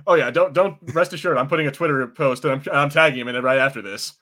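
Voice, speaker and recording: A man's voice: mid-range voice, fast, sharp tone. A man hosting a podcast, rambling in an easygoing, casual way with frequent laughs. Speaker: podcast host. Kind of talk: casual chat.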